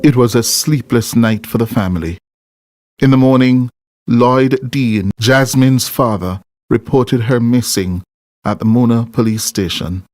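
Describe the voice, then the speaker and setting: A man's voice: mid-range voice, measured pace, a little mellow, dry recording, studio quality. A man reading scripted narration for a television news documentary. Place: close-miked voice-over with music behind.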